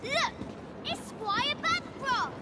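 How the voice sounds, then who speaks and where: A performer playing an excited, cheeky Victorian street child in a video game. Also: very high-pitched, very slow, full character voice. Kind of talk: video game cutscene acting. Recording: loud street noise.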